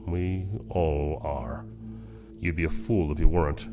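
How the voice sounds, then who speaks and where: An actor playing a stern, decisive starship captain with a curt, commanding manner in a science fiction audio drama. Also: male voice, rumbling low, fairly even intonation, measured pace, over music. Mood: resolute.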